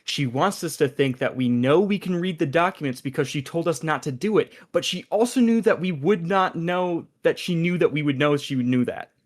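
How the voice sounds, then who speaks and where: A man playing an excitable, overthinking secret agent who explains things emphatically in a comedy radio play read. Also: a little high, normal speed, few pauses, natural voice. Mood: earnest, insistent.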